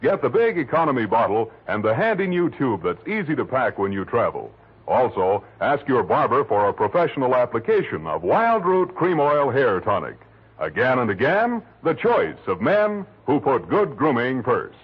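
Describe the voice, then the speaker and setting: A man announcing and pitching a sponsor in polished, emphatic 1940s radio style. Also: very animated, moderate pace, slightly rough. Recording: muffled vintage radio broadcast with music.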